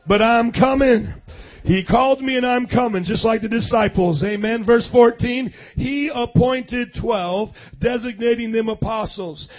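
A hoarse young man preaching a sermon, energetically calling out to the congregation for responses. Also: very high voice. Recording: dull lo-fi sound.

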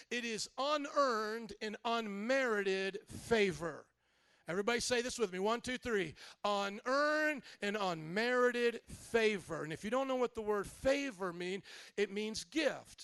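Brief: male pastor, high voice, dry close recording, lively call-and-response sermon. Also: dry recording. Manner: energetic call-and-response preaching; slows and stretches words for emphasis.

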